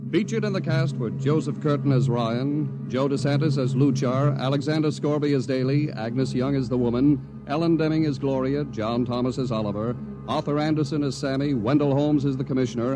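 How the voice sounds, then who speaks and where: A man announcing scripted credits on 1950s radio in a formal, measured delivery. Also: medium pitch. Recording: 1950s radio broadcast recording, music underneath. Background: loud music.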